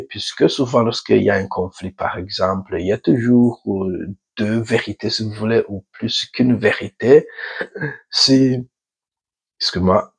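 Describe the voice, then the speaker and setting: A middle-aged man from Burundi with a Burundian accent, speaking a second language slowly and hesitantly, often pausing to search for words. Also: fairly low pitch, slightly rough. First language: a Burundian home language. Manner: measured, deliberately slow. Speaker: video host. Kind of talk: casual talk to camera.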